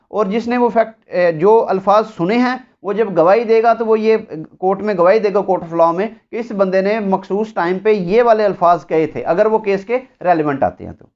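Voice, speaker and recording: A speaker with a Pakistani accent giving an explanatory law lecture with examples, in a didactic, deliberate way. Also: mid-range voice, darkish tone. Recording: dull, lo-fi audio.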